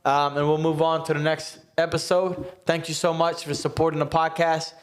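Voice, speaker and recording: An American man hosting a casual round-table podcast, talking earnestly and emphatically and often checking in with a quick "right?". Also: on the high side, flat delivery. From United States. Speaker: podcast host.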